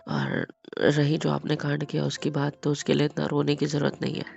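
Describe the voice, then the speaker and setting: Male voice: young husband, quick-tempered but gentle, low hushed gravelly delivery, lo-fi audio-drama feel. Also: completely flat delivery, faint music behind. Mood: tender.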